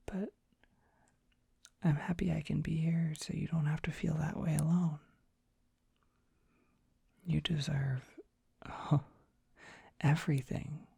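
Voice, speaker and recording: A performer plays a dorky, gentle dragon boy in an ASMR roleplay. His voice is a very husky, breathy whisper, heavily creaky at the edges. He talks slowly and shyly, halting softly as he soothes the listener. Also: mid-range voice, dry recording, clean studio sound, exaggerated voice. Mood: earnest reassurance. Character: gentle, shy, dorky young dragon boy, tenderly comforting.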